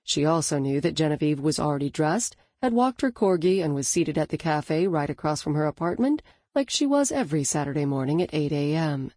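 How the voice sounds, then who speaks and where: A woman reading a novel as an audiobook narrator in a calm, even storytelling manner. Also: low voice, brisk, dry recording, clean studio sound. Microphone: studio microphone.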